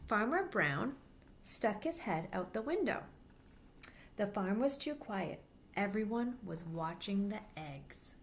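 A woman in her thirties reading a children's picture book aloud, with simple, deliberate phrasing for young listeners. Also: mid-range voice, very animated, slight background noise. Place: small plain-walled room indoors.

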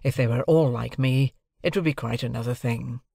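A man's voice: medium pitch, moderate pace, gravelly, mellow, no echo, clean studio sound. A man reading a classic novel as an audiobook narrator, in a literary storytelling style.

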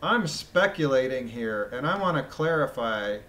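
A man with a phlegmy voice reading a document aloud with casual, incredulous, mocking commentary, often clearing his throat. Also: medium pitch, animated, normal speed, sharp tone. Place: voice-over of a screen recording.

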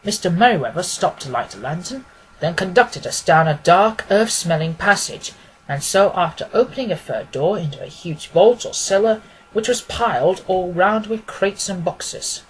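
A man's voice: sits high, animated, normal speed, a little hiss or hum, poor audio. A man narrating a scripted story as an audiobook reader.

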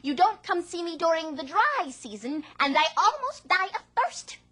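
A woman voicing a talking yam that scolds a farmer in a high, complaining voice. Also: very animated, moderate pace, fairly bright tone, exaggerated voice. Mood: indignant. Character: indignant male yam, shrill and complaining.

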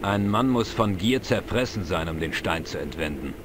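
Dry, lowish-voiced older pub sage. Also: male voice, animated, background noise. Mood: dry, gossipy. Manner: dry, measured, explanatory. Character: older, knowledgeable, wry Irish pub regular. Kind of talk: dubbed adventure game dialogue. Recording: music behind the voice. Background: game music.

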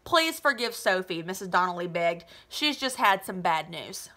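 A woman voicing a weary, gently apologetic mother of a teenager, soothing and resigned, in a novel read-aloud. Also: lowish voice, lively intonation, moderate pace, pitched below the performer's own voice.

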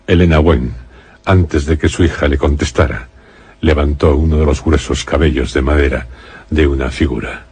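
A man narrating a radio horror drama as a grave storyteller, solemn and deliberate, with a Castilian accent. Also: very deep voice, fairly even intonation, a little mellow, slightly rough, exaggerated voice. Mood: grave suspense. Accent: Castilian Spanish. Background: music.

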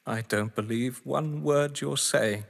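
Man acting possessive bitter husband, slow deliberate delivery, mid-pitched, audio play, echoing hall. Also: vocal fry. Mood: cold scornful. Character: bitter, possessive male crime-story lover, pleading then menacing. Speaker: actor in an audio drama. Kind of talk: dramatic stage-play acting. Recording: long echoing reverb.

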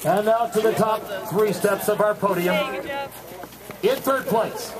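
A man's voice: high-pitched, a little slow. A man announcing an awards ceremony formally, projecting and stressing names with emphasis. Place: outdoors at a snowy ski venue. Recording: very noisy outdoor recording, loud crowd. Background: loud crowd and ambient noise.

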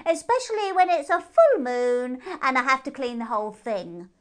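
A woman voicing a mad, cheeky, self-important male hare in a children's storybook, speaking in drawn-out exclamations. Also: high-pitched, expressive, smooth, voice pushed up. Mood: airy, boastful.

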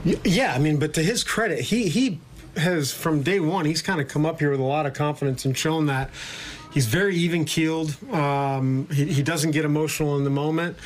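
Measured middle-aged man at a press conference. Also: a little high. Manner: measured, hesitant with fillers and restarts. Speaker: baseball manager. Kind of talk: postgame press conference answers. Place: indoor press conference room.

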